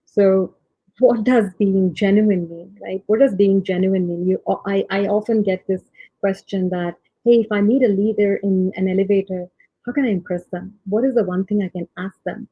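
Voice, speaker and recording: Soft-voiced woman over thin phone-like audio. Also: medium pitch, steady tone, moderate pace, husky, no echo. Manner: many ums and restarts. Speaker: conference speaker. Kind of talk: leadership talk.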